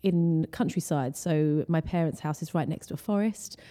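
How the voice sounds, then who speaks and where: A woman's voice: low-pitched, normal speed, warm tone, in a large hall. An English woman, a guest, chatting casually and explaining things at length with plenty of fillers. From England. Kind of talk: casual interview chat.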